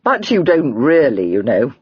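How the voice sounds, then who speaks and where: A woman reading aloud, voicing a fond, teasing young gentleman in a breathy voice near her own. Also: a bass voice, big pitch swings, unhurried, no echo, lo-fi sound, heavily acted.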